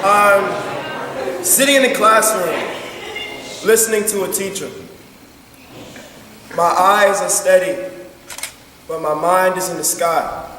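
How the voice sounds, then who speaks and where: A man's voice: high-pitched, a bit bright, recorded from a distance. Young male student poet, reflective recitation, heard from afar in a reverberant hall with faint noise. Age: young adult. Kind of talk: slow, reflective slam poetry recitation. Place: large school assembly hall.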